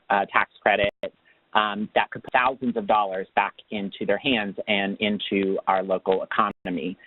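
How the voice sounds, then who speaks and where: A man giving a semi-scripted informational webinar presentation, phrasing things formally and pausing as he goes. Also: lowish voice, slight background noise. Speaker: nonprofit program manager as webinar speaker. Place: remote webinar call. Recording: dull lo-fi call audio, very dry.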